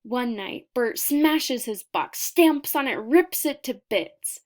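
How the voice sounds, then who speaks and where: A woman with a slightly high voice narrates a children's storybook. She takes her time, very unhurried and deliberate. Her expressive reading uses emphasis and pauses for drama. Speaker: picture book reader.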